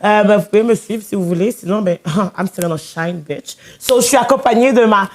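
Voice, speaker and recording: A woman's voice: mid-range voice, brisk. A woman with a Quebec French accent hosting a podcast, sassy and teasing, mixing in English. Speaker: podcast host. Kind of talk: casual podcast chat.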